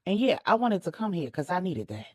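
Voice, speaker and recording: A woman's voice: lowish voice, sing-song, fairly quick, dry recording, clean studio sound. A woman voicing a sassy, bold young college student in love, feisty, demanding and playful, for a story. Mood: insistent, affectionate.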